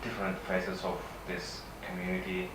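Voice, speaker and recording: A man with a Russian accent, a native Russian speaker, giving a halting conference presentation with frequent pauses. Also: sits low, very animated, fairly bright tone. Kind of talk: conference presentation, semi-improvised. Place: conference session room. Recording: echoey room from far off, faint noise.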